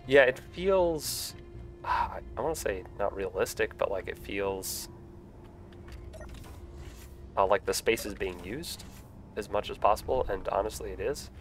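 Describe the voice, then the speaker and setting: A man's voice: fairly low pitch, unhurried, pauses often, faint music behind. A young man with a stuffy voice from a cold, chatting casually with lots of fillers while live-streaming a building game. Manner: relaxed, rambling, frequent fillers.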